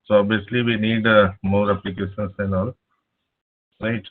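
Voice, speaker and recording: A man teaching a live online programming class over a speakerphone, pausing often with "uh". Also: lowish voice, flat delivery. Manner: halting, many filler "uh"s. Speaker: programming instructor. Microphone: laptop speakerphone, no headset. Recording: tinny phone-like line.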